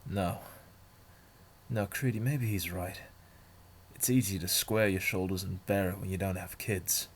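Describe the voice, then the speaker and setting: A man's voice: low voice, steady tone, unhurried, a little hiss or hum. A man playing a weary, protective leader of survivors in an amateur audio drama. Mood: somber, weary. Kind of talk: fan audio drama acting.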